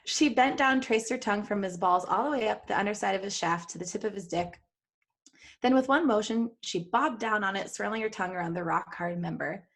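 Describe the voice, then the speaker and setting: Woman podcaster reading fanfic out loud, giggly and playful, chatty little asides. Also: medium pitch, brisk. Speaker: podcast host. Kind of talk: reading fan fiction aloud with chatty asides.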